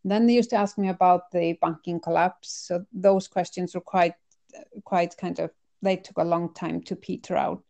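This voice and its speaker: A middle-aged woman from Iceland shares personal experiences in a relaxed interview. Her tone is dark and mellow, without sharp edges. She speaks conversationally, reflecting as she goes and hesitating over her phrasing. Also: on the low side, moderate pace, vocal fry. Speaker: interview guest.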